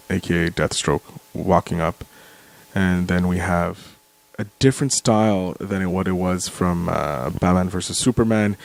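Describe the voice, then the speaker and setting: Man, very deep voice, off-the-cuff film commentary, halting, descriptive. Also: gravelly. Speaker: commentary contributor.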